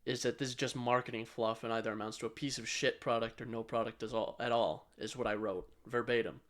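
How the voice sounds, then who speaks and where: A man's voice: mid-range voice, steady tone, fast talker, a bit bright. A man co-hosting a podcast in a casual, rambling, blunt way with some swearing. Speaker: podcast co-host. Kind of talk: casual tech chat.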